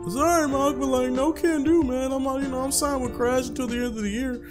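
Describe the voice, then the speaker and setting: A man's voice: extremely high pitch, fairly even intonation, a little fast, over music, voice pushed up. A man voicing a cocky, slangy young pro gamer in a visual novel read-through, chatting casually and stumbling over phrases. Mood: apologetic, casual.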